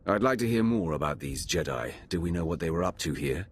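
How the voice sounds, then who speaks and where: An actor playing a stern, cold, commanding Sith warrior in a video game, speaking formally in a very deep voice. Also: male voice, lively intonation, brisk, husky. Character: stern male Sith warrior, the Emperor's former enforcer. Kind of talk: video game cutscene acting.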